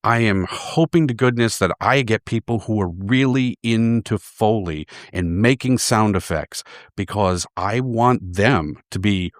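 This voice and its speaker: An American man talks as a guest in a relaxed studio interview, telling stories in an everyday conversational way. His voice is slightly low, and the sound is close and dry. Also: measured pace, dry recording. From Boston, USA. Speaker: radio theater founder, guest. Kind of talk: casual interview talk, anecdotal. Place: radio studio.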